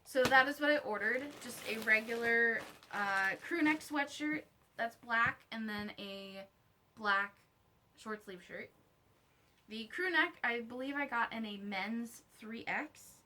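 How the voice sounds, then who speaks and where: A woman with a fairly high, very bright voice presents an unboxing vlog. She talks in a casual, slangy way, as if chatting to viewers and to herself at once. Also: takes it slowly. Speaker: vlogger reviewing her own merch. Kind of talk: casual unboxing chat to camera.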